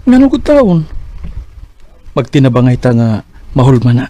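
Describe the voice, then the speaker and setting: A man's voice: mid-range voice, sing-song, normal speed, mellow, close to an everyday voice. A man voicing an earnest, jokey young husband in an amateur radio drama. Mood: tender. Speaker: voice actor.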